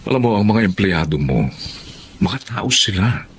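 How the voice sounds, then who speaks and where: An actor playing a frank, easygoing older brother with a Filipino accent in a Tagalog radio drama, chatty and gently chiding. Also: male voice, fairly low pitch, sing-song, moderate pace, slight background noise.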